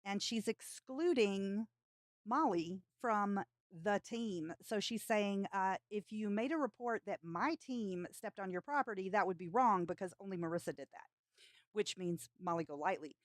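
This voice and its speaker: A woman's voice: medium pitch, moderate pace, dry recording, clean studio sound. A woman hosting a live stream, giving casual commentary on clips.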